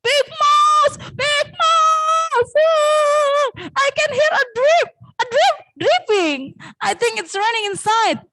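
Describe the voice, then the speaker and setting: A woman voicing a small, anxious, excitable mouse in a children's picture book, exclaiming in a bilingual read-aloud. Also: very high-pitched, drawn-out words, noisy background, voice pushed up, exaggerated voice. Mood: alarmed.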